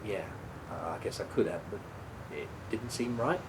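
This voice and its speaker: A man's voice: lowish voice, expressive, moderate pace, background noise, close to an everyday voice. An actor in a radio play voicing a guilt-ridden, apologetic man who stammers and hesitates as he speaks. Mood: hesitant, ashamed.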